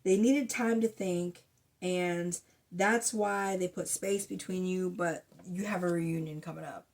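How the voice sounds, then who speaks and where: A woman's voice: lowish voice, fairly even intonation, measured pace, darkish tone. Blunt, foul-mouthed woman with a sore throat. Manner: blunt, profane, chatty. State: sore throat, a bit hoarse. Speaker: tarot reader. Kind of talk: casual tarot reading to camera.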